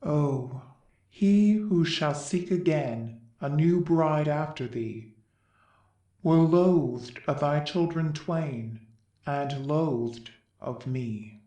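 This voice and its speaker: A reverent male chorus elder of Greek tragedy played by a man declaims lyrical verse. The delivery is solemn and very measured, in a room with clear echo. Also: on the high side, dark tone. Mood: reverent, mournful. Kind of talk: classical verse drama reading. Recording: echoey room.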